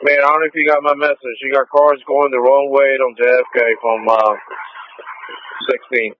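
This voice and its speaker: A man's voice: mid-range voice, flat delivery, normal speed, a little hiss or hum. Tinny male police voice on radio. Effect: two-way radio.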